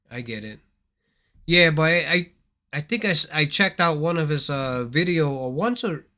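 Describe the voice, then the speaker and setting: A man's voice: a little high, pushed and bright. A man from Thailand hosting a casual gaming livestream, rambling and repeating his words as he talks straight to his viewers.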